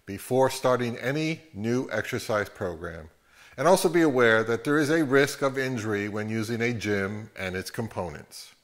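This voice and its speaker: A middle-aged man giving scripted, tongue-in-cheek instructions to viewers in a measured, deadpan way. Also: mid-range voice. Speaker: host of a hobby audio channel. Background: music.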